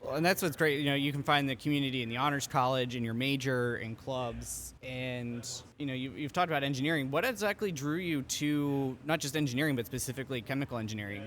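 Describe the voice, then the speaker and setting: A man's voice: medium pitch, fast, a bit bright. A man hosting a podcast interview, asking long, encouraging questions filled with "you know.". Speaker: podcast host.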